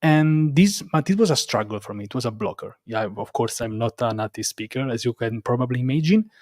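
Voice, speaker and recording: A man with a strong accent from Rome speaks as a guest in a casual interview. His English is non-native and halting, with phrases often repeated and a self-deprecating touch. His voice sits at a middling pitch, in a very dry, close sound. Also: lively intonation, a little mellow, no room sound. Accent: Roman Italian. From Italy. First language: Italian. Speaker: interview guest, developer advocate and content creator.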